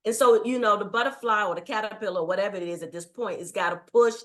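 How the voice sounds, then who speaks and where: A woman's voice: medium pitch, fast talker. A middle-aged woman guest telling a personal story in a reflective, earnest way. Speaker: guest, writing coach. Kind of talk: casual interview chat.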